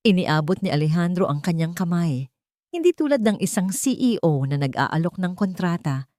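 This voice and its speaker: A woman narrating a scripted romantic drama in a measured, solemn and sentimental manner. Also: on the low side, warm tone, dry recording, studio quality. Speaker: story narrator.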